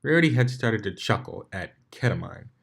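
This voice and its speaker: A man with a lowish voice reads a piece of fan fiction aloud. The delivery is scripted story narration. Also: expressive, normal speed, gravelly, a bit bright, breathy edge.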